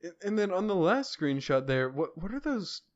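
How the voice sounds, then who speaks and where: A man's voice: sits high, sing-song, normal speed, lo-fi sound. A man co-hosting a podcast, chatting informally about video games and swearing freely. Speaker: podcast co-host.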